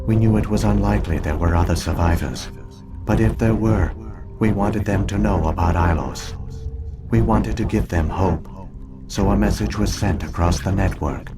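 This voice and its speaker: An actor playing an ancient alien virtual intelligence in a video game, speaking in a measured, formal, solemn and coldly logical manner. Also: male voice, low voice, lightly acted. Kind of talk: scripted video game voice acting, exposition. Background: game music.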